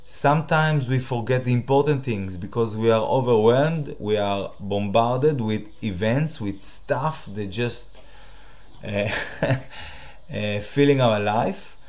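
A man from Israel with a Hebrew accent, a native Hebrew speaker hosting his own channel and talking to the camera in a direct, halting way with many fillers. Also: medium pitch, a little mellow. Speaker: channel host. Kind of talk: solo talk to camera.

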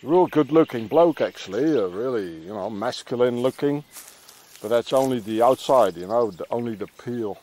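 A man's voice: mid-range voice, expressive, moderate pace, warm tone. A middle-aged man rambling and ranting in a meandering monologue as he walks a countryside path, often tagging on questions. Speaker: vlogger. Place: outdoors in the countryside, walking a path.

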